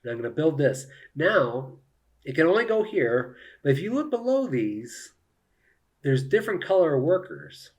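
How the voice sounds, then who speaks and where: A man's voice: a little high, sing-song, normal speed. A man giving casual live commentary on a solo board game, thinking aloud and explaining each move to viewers.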